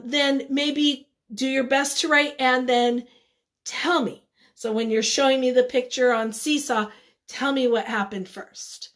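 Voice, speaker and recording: A woman teacher leading a read-aloud lesson for young children, encouraging and instructive, pausing after simple questions. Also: high voice, fairly bright tone. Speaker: elementary school teacher.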